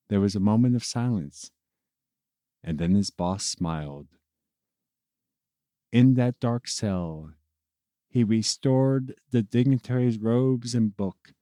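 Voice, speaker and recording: A man narrating a scripted story as a dramatic storyteller, with measured, deliberate and theatrical phrasing. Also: lowish voice, dark tone, no room sound, clean studio sound.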